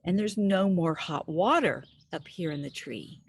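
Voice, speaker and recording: A woman reading a picture book aloud to young children outdoors, expressively, pausing to put questions to the listener. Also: fairly low pitch, lively intonation, slightly rough. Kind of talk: animated read-aloud storytelling for young children. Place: outdoors in a backyard with trees.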